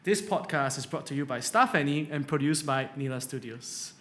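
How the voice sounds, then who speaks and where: A man's voice: fairly high pitch, moderate pace, a bit bright. A man hosting a business podcast, introducing his guest and asking questions in an upbeat way. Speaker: podcast host.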